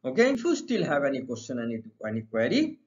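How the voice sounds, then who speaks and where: A man's voice: medium pitch, wildly swooping pitch, quick pace, a little mellow. A middle-aged man with an Indian accent teaching an exam-preparation lesson, reading out questions and explaining the answers didactically, often repeating his phrases. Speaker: English literature teacher. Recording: dull, muffled lo-fi sound.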